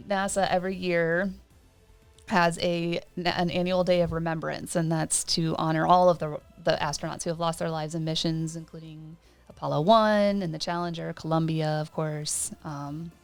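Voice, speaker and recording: A woman's voice: low-pitched, level tone, normal speed, vocal fry, faint music behind. A woman in her forties co-hosting a podcast in casual, chatty talk, laughing often between points. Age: 40s. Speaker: podcast co-host.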